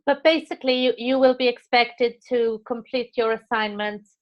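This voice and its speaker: A woman's voice: fairly high pitch, steady tone, normal speed. A woman with a Welsh accent giving an encouraging, informal online lesson as course tutor, often restarting her phrases. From Wales. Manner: warm, encouraging, with frequent restarts. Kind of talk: live online teaching session. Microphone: video call. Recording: dull lo-fi call audio.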